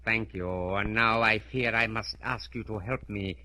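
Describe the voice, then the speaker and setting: A criminal mastermind of old radio drama, with a sharp, forceful voice. He is unhurried and precise, wrapping every command in cold, formal courtesy. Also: lowish voice, steady tone, slight background noise, rough recording. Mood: politely menacing. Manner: formal, precise, menacingly polite. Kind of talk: 1940s radio acting.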